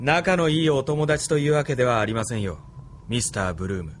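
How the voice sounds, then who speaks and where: Male actor, smug military officer, mockingly polite, controlled menace, medium pitch, Japanese audio drama. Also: brisk, slight background noise, close to an everyday voice. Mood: smug, composed.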